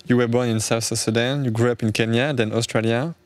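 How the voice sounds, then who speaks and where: A man's voice: medium pitch, steady tone, a little fast. Man asking short interview questions. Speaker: interviewer, journalist.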